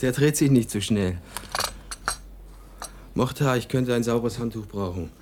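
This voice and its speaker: An actor playing an easygoing writer staying at a hotel in a radio play, casual and matter-of-fact. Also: male voice, medium pitch, measured pace, natural voice. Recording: noisy room ambience, clicks, off-mic.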